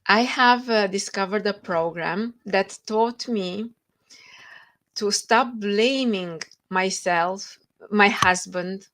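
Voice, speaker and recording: A woman's voice: mid-range voice, a bit bright. A woman with a Romanian accent, a guest author telling her story with earnest feeling in a podcast interview over a video call.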